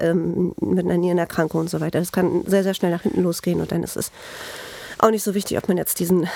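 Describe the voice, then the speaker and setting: A woman's voice: medium pitch, gravelly, a little mellow, no room sound. A woman teacher co-hosting a casual podcast, talking with a cold and many hesitant fillers. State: has a cold.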